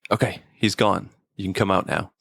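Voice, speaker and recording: A man playing an anxious, uneasy conspirator in a radio drama, with a creaky voice. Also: low-pitched, steady tone, moderate pace, background noise.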